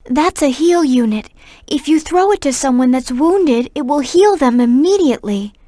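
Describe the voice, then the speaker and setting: A woman voicing a gentle, earnest young girl in a video game, caring and polite in the way she talks. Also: high voice, animated, normal speed, dry recording, studio quality. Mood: gentle, helpful.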